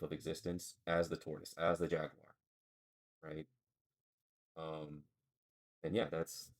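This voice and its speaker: A man with a very low voice that barely rises or falls. He co-hosts a relaxed podcast conversation and rambles as he works his ideas out aloud. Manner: thinking aloud, rambling and searching for words. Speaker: podcast co-host. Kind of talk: casual philosophical chat.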